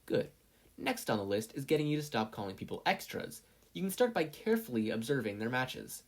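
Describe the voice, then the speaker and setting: A man's voice: mid-range voice, very animated, a little fast, voice pushed up. A man voicing a teenage boy's smug, sensible inner conscience in a story reading, chiding and sardonic.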